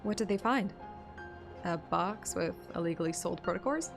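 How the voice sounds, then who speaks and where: Woman playing an alert, lowish-voiced young heroine. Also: animated, normal speed, vocal fry, music in the background. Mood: curious. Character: alert, inquisitive young female monster hunter heroine. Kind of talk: game drama acting.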